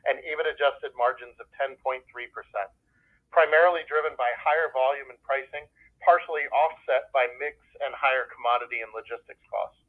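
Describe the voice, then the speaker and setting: Husky businessman on a phone line. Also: medium pitch. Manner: formal, businesslike, reading prepared remarks. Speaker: corporate finance executive presenting results. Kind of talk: scripted earnings call presentation. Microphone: telephone conference call. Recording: muffled, narrowband telephone conference line.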